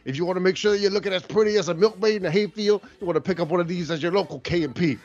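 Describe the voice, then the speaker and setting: Man as a high-voiced folksy wrestler selling hard. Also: rapid-fire, darkish tone, lo-fi sound. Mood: exuberant, salesy. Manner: jive-talking salesman patter. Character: folksy, exuberant pro wrestler pitching a product. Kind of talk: parody radio advert.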